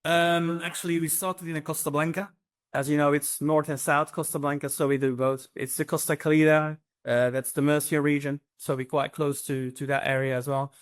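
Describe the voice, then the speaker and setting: A man's voice: a little high, level tone, brisk. A middle-aged man from Belgium with a Flemish accent, answering interview questions casually with lots of ums and restarts. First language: Dutch. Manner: hesitant, many ums and restarts. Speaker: real estate agency CEO.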